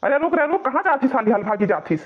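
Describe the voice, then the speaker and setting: A man plays a fussy young husband from an Awadhi cartoon comedy, forever nagging about family honour. His voice is high and hurried, and the audio is thin and phone-like. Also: sing-song, exaggerated voice. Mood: grumbling. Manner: nagging, pleading, grumbling. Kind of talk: exaggerated cartoon comedy bickering.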